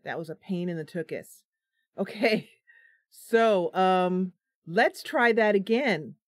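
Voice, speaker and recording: A woman streamer talks slowly in a slightly low voice, tired and giggly, laughing off her own mistakes. The sound is close and dry, with no room echo, like a desk microphone at home. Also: expressive. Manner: chatty, giggly, self-deprecating. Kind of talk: casual live-stream chat.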